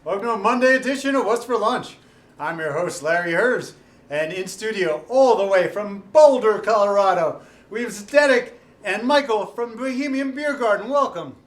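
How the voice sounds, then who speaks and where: A man's voice: sits high, animated, moderate pace. Upbeat male talk show host. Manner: upbeat, enthusiastic. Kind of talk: lunchtime studio interview.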